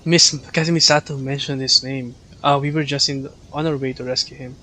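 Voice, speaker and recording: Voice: mid-range voice, fairly even intonation, a little fast, pushed and bright, slight background noise. A game streamer with a Filipino accent and Filipino as native language, giving casual livestream commentary. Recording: game music behind voice. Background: video game music.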